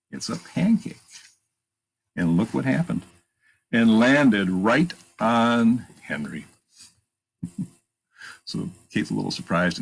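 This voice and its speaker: Storytime reader: man, gravelly voice with expressive swings, reading aloud and commenting on the pictures. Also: fairly low pitch, rough recording. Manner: pauses to point out pictures and ask listeners questions; animated, emphatic read-aloud delivery. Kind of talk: reading a picture book aloud with chatty asides.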